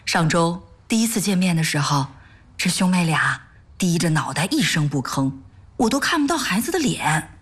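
Caring earnest wife in a radio drama, bit husky, soft-hearted. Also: female voice, fairly low pitch, big pitch swings, moderate pace. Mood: gentle, wry. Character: caring, tender-hearted wife devoted to charity work. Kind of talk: sentimental audio drama dialogue.

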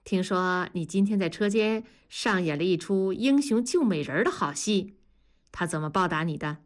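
A coarse, blunt middle-aged man scolds and teases at full volume, voiced by a woman. His pitch jumps around restlessly. He talks fast and pushy, a rough audiobook character. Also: very animated. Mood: teasing.

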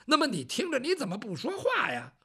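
Brief: a storyteller plays a gabby, fussy old eunuch, pitch swooping everywhere. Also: huge pitch swings, fairly quick, a bit bright, breathy edge, full character voice. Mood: chatty. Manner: talkative, nagging, teasing. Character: chatty, fussy palace eunuch, older man. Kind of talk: traditional Chinese storytelling.

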